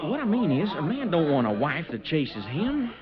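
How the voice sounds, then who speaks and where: An actor playing a bashful young cowhand in an old radio western, with a folksy, stammering, blustering manner and a rural Western American accent. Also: male voice, on the high side, huge pitch swings, normal speed, a crowd in the background. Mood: nervous, sheepish. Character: bashful, flustered young cowhand, reluctant new groom. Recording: muffled vintage radio recording, music behind.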